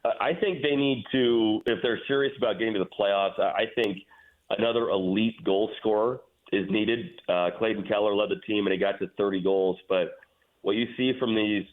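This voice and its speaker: The voice comes through a thin, phone-like line. It is a man with a fairly low pitch, chatting as an interview guest. He explains sports matters in a conversational, casual way. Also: fairly even intonation, moderate pace. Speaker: radio play-by-play announcer, interview guest.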